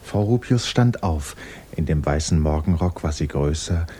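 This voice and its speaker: A man narrating a 1960s literary radio play in a measured storytelling manner. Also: a bass voice, expressive, mellow, breathy edge.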